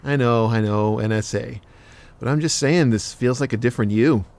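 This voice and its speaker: An actor playing a cheeky, big-hearted casual lover in an audio drama, with blunt, slangy, joking talk. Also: male voice, mid-range voice, moderate pace, creaky, darkish tone, natural voice. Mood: flirty.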